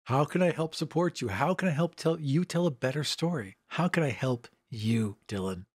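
A man's voice: a little high, animated, normal speed, breathy edge, no echo, studio quality. A man co-hosting a podcast, playfully bantering and telling an improvised story as a tabletop player.

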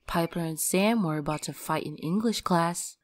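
Woman doing an excitable high school gossip, on the low side, bit of background noise. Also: moderate pace, natural voice. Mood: gossipy, excited. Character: gossipy, excitable teenage student. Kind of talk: audio drama dialogue.

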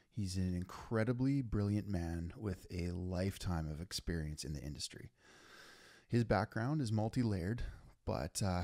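Podcast host, male, very low gravelly voice, earnest and chatty. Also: a little mellow, dry recording, clean studio sound. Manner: earnest, enthusiastic, many fillers and restarts. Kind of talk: casual podcast intro and interview.

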